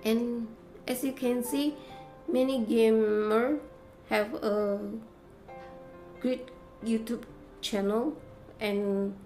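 A woman in her thirties with an Indonesian accent gives a prepared speech to camera as a content creator, in deliberate, halting phrases. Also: a little high, darkish tone. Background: faint music.

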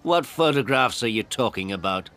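A man's voice: medium pitch, expressive, moderate pace, bright, forceful tone, voice pushed up. A man voicing a shifty, blustering photographer in a story, dismissive and accusatory. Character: dismissive, angry male photographer, shifty and loud.

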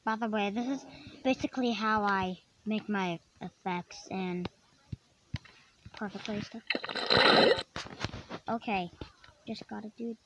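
A tutorial host casually explaining software steps in a halting way, often repeating and rephrasing. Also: medium pitch, fairly even intonation. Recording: dull lo-fi microphone, clearly noisy.

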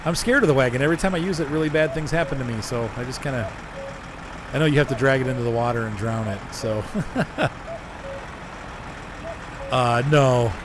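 Hoarse man streaming a game. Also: medium pitch, normal speed, long pauses. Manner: rambling, chuckling, answers chat. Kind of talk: casual gameplay chat. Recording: noisy game sound behind.

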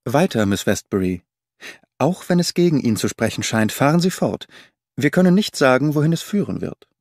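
A man reading the part of a calm, analytical detective in an audiobook, measured and probing, dry recording. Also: medium pitch, no room sound.

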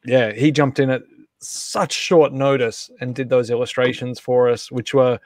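A man's voice: mid-range voice, fairly even intonation, measured pace, a little mellow, dry recording, studio quality. A man casually hosting a live stream chat on a group video call.

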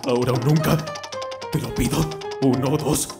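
A man acts out a teenage anime boy who comments on everything with dramatic exasperation. His mid-range voice is sarcastic and prone to outbursts. Music plays clearly underneath. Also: mid-range voice, fairly even intonation, moderate pace, natural voice. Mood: brooding. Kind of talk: anime fan dub acting and narration. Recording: music playing behind the voice.

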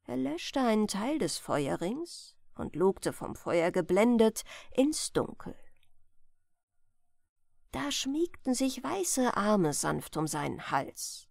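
A woman reading a fairy tale for bedtime in an expressive, dramatic storytelling manner. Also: medium pitch, slow pace, creaky, no echo, studio quality. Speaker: audiobook narrator.

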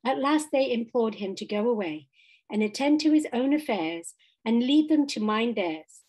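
A woman reading a children's animal story aloud as expressive scripted narration. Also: a little high, normal speed, low-quality recording. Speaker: audiobook reader.

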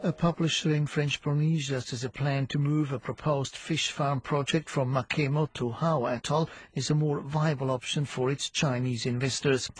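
A man's voice: medium pitch, steady tone, moderate pace, hardly any pauses, husky, dry recording. A man reading scripted radio news as a newsreader.

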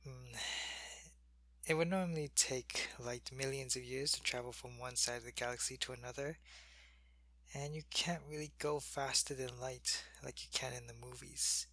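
A man playing a sick, weak male alien soldier in an ASMR roleplay. He speaks softly into a close mic and often drops to a whisper. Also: medium pitch, steady tone, moderate pace, dry recording. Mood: weary, gentle. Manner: soft-spoken, drifting into whispers.

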